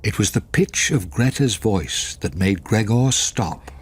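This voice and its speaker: A man's voice: fairly low pitch, expressive, unhurried, slightly rough, exaggerated voice. A man reading scripted narration for a radio drama. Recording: very noisy recording.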